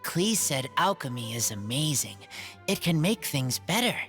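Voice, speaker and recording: An actor playing a gentle, simple-spoken wild teenage boy in a video game, halting and plain-spoken. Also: medium pitch, sing-song. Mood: quiet, curious. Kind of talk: video game character dialogue. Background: faint music.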